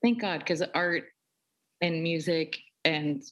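A woman with a notably low speaking voice, though she is a soprano singer, talks in a flowing, articulate way. She shares her thoughts in a relaxed interview conversation. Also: takes it slowly.

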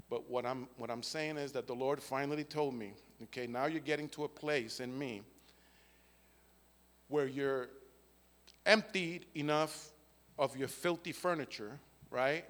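A man's voice: mid-range voice, fairly bright tone, in a large hall. A man, giving an earnest spoken faith testimony and often checking in with "right?" and "okay?". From the Bronx, New York. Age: 50s.

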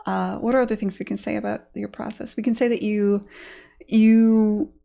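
A woman hosting a casual interview, curious and quick to react with short interjections. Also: mid-range voice, fairly even intonation, gravelly, mellow. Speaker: podcast host.

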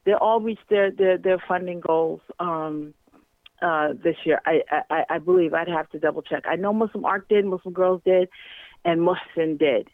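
A woman's voice: fairly low pitch, moderate pace, dry recording. A woman in casual conversation as a guest, chatty and full of fillers and restarts. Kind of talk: casual chat. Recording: tinny phone line.

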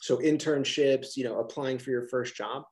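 A man's voice: mid-range voice, fairly even intonation, moderate pace, a little mellow. A middle-aged man with a Philadelphia accent, a college professor answering interview questions casually on a video call, with many restarts and fillers.

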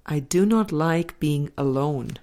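A woman reading a spiritual book aloud as scripted narration, in a measured, deliberate way with pauses between phrases. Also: low-pitched, lively intonation, dark tone. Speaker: audiobook reader.

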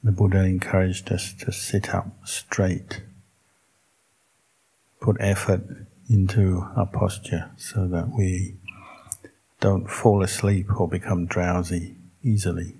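Calm monk guy doing guided meditation, really flat and husky, measured short phrases w long pauses. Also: low-pitched, poor audio. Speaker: Buddhist monk teaching meditation.

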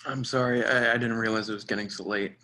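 A man's voice: lowish voice, steady tone, quick pace, bright, forceful tone. An actor playing an overworked, polite and hesitant professional man in a dramatic screenplay reading. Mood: weary, friendly. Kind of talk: dramatic table read.

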